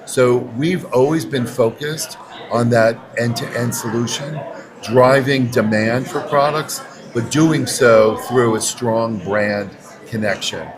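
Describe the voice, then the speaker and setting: A man's voice: mid-range voice, slow, low-quality recording. A man in his sixties speaking earnestly and conversationally as a guest in a podcast interview. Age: 60s. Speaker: interview guest, advertising agency chief executive. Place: podcast booth at a busy conference. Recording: crowd chatter around the voice. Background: crowd chatter.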